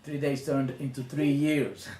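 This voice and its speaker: A man in his fifties from the Dominican Republic with a Dominican accent, recounting his childhood in a reflective, hesitant way with frequent pauses. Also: mid-range voice, little pitch movement, dark tone, faint music behind. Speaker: interviewee. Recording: echoey room with faint ambient noise.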